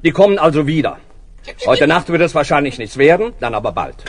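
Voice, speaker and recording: Ein Mann spielt in einem Kinderhörspiel einen forschen, befehlsgewohnten Kriminalkommissar. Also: male voice, fairly high pitch, big pitch swings. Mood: businesslike. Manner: brisk, authoritative. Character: brisk, commanding male police inspector. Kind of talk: children's audio play acting.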